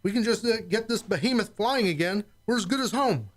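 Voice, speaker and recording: A performer playing an eager, plain-spoken starship chief engineer in a science fiction radio drama, with an excitable manner. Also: medium pitch, brisk, natural voice.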